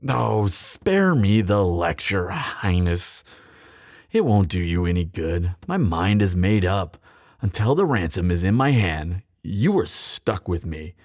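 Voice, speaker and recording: A bitter, mocking male thief played by a man speaks in a close, dry recording. His delivery swings widely in pitch, sardonic and theatrical. It is a fantasy roleplay spoken straight to the listener, hardened by grief. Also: expressive, a little mellow, dry recording, exaggerated voice. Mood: mocking, controlled. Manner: sardonic, theatrical, deliberate pauses. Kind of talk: dramatic fantasy audio roleplay, addressed to listener.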